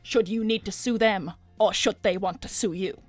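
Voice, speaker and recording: A woman's voice: medium pitch, fairly even intonation, normal speed, no echo, faint music behind, close to an everyday voice. A woman voicing a glamorous, scheming hedgehog businesswoman in an improvised cartoon chat, suave, commanding and theatrically persuasive. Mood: businesslike persuasion. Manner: suave, theatrical, commanding, calls others darling. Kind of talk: improvised in-character livestream chat.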